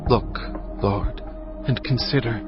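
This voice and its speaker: A man narrating scripture as a dramatised audiobook, in a solemn, measured manner. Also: medium pitch, lo-fi sound, music in the background.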